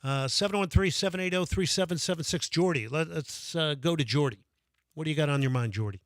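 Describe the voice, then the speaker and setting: A man's voice: fairly high pitch, fast talker, no echo. A man hosting a radio sports call-in show, talking with enthusiasm and taking callers. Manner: enthusiastic, reads out phone number repeatedly.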